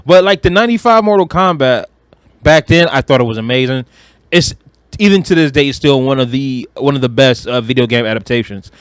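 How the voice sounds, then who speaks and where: A man's voice: mid-range voice, fairly quick, clear, resonant tone, no echo. A man co-hosting a podcast in casual fan chat, informal and slangy, with an enthusiastic manner. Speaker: podcast co-host.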